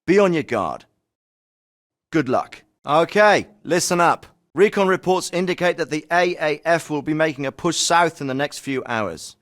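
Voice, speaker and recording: A stern special forces commander. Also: male voice, on the high side, expressive, fairly bright tone. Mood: commanding. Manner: measured, clipped military briefing delivery. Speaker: military commander giving briefings. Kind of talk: video game voice acting. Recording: clean studio voice recording.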